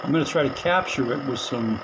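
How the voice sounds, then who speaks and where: A middle-aged man casually narrating his radio repair in a small spare room at home, halting with fillers and soft chuckles. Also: medium pitch, background noise. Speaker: radio hobbyist vlogger.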